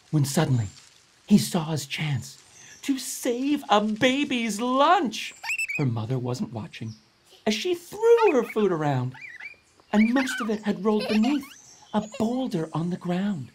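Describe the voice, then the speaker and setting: A man giving a theatrical, expressive read-aloud of a rhyming children's story. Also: high-pitched, background noise. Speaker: storybook reader.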